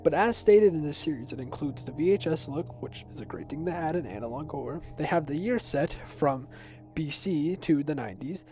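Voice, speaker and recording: Music plays under a young man's voice, which is on the high side but soft and dark in tone. He narrates a video essay informally, mixing explanation with personal opinion. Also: expressive, a little fast. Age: teens to young adult. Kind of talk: semi-scripted explainer narration. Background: background music.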